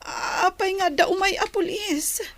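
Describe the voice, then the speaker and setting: A woman's voice: extremely high pitch, measured pace, fairly bright tone, exaggerated voice. A woman playing a flustered, fretful woman in a Filipino radio drama. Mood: alarmed. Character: panicked high-voiced woman, flustered and fretful.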